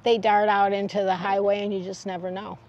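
A woman's voice: medium pitch, level tone, brisk, darkish tone, dull audio. An older American woman casually recounting a trip as a travel vlog host, speaking outdoors in the open air.

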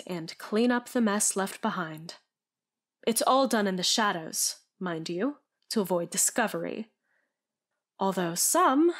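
Actress as a slow-talking, anguished female vampire. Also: mid-range voice, lightly acted. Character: anguished female vampire, former FBI agent, confessing her curse. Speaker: narrator. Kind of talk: scripted gothic audio drama narration.

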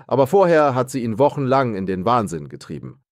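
A man's voice: medium pitch, very animated, fairly quick, no room sound, studio quality. A man reading the part of a boastful, suspicious rich young man in an audiobook, bragging as he talks.

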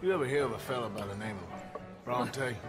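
An actor playing a gruff, sardonic American outlaw gunslinger in a western game, speaking in a dry, laconic way. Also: male voice, medium pitch, brisk, lightly acted. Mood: guarded, probing. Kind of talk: cinematic western game acting. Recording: music behind the voice. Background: music.

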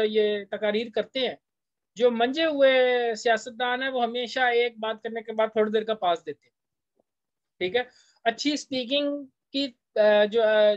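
A man's voice: very high voice, dry recording. Pakistani man lecturing, dry and slightly dull recording. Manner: conversational, switches between languages to translate. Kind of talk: explanatory lecture, reading out English text and explaining it.

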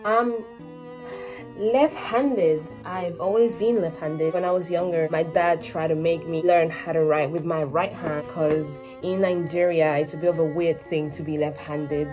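A teenage girl born in Spain, talking casually to camera from her small bedroom, with joking asides to herself. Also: sits low. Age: teens. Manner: chatty, self-mocking asides. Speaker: vlogger. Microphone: phone or webcam at arm's length.

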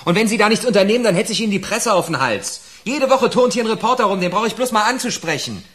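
A man's voice: sits high, expressive, quick pace, a bit bright. A man playing a sarcastic, embittered young prisoner in a German radio crime drama. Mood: angry, threatening.

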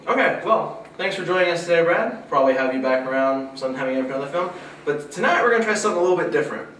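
A young man in his twenties hosts a relaxed movie review, talking loosely and letting his sentences drop off at the ends. He's picked up from a distance in a small room that rings back, with a faint hiss underneath. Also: rapid-fire. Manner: loose, chatty, trails off low. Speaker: host of a movie review show. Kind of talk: casual review chat. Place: small indoor studio with a poster backdrop. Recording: echoey room, mic far off, faint hiss.

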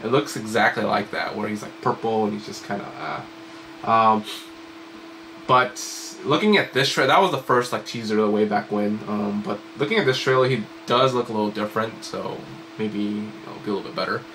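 A man's voice: lowish voice, normal speed, background noise. A young man in his twenties casually reacting to a trailer in a small home room, rambling with lots of fillers and sounding slightly sick. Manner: rambling, filler-heavy, excitable. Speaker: reaction video host. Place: small home room with computer desk, indoors.

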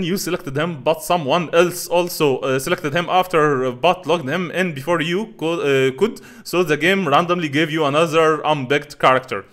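A man in his thirties, a native Arabic speaker, talks through viewer comments in a loose, rambling way, stopping and restarting often. His voice sounds bright and forward. He is close on a headset mic in a small home room. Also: fairly high pitch. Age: 30s. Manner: rambling, halting, many fillers and restarts. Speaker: channel host answering comments.

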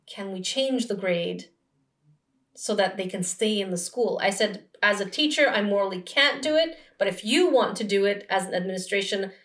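A woman's voice: mid-range voice, animated, normal speed, fairly bright tone, roomy sound, far from the mic. A woman in her thirties, a teacher, giving firm opinions on a casual podcast. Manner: opinionated, explains and sums up. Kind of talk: casual chat. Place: small room at home, indoors.

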